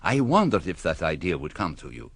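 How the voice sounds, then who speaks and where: A man playing a courteous, shrewd foreign detective in a radio drama, formal and deliberate with quaint phrasing. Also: low voice, huge pitch swings, vocal fry, a bit bright, no room sound, full character voice. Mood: thoughtful, probing.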